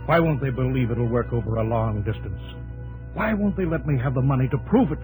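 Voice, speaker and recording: Man playing tired bitter inventor, fifties, old radio drama style, halting and brooding, mid pitch, music under him. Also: animated, a little mellow, lightly acted, poor audio. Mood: bitter, frustrated. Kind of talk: 1950s radio drama acting.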